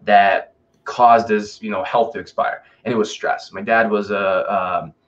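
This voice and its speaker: A man's voice: fairly low pitch, steady tone, unhurried, a bit bright, lo-fi sound. A man from Russia talking casually and emphatically as a coaching guest on an interview show, telling personal stories and often checking in with informal asides. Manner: informal, emphatic, with rhetorical check-ins and buddy slang. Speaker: podcast guest, fitness and life coach.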